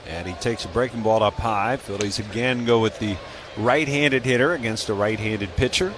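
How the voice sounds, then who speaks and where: A man's voice: lowish voice, normal speed. An American man calling live baseball play-by-play in a radio commentary style. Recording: clearly noisy live ballpark broadcast.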